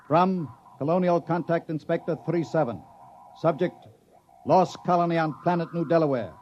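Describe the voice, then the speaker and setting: A man plays a formal inspector dictating a report in a 1950s radio play. The voice is a little high and strictly businesslike. The sound is dull, with faint noise behind it. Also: moderate pace, lightly acted. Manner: terse, official. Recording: muffled old broadcast with noise behind.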